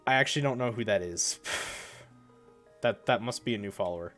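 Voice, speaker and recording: A man's voice: fairly low pitch, fairly quick, fairly bright tone, soft background music. A young man chatting casually on a live stream, a bit congested from a cold, rambling and correcting himself as he goes.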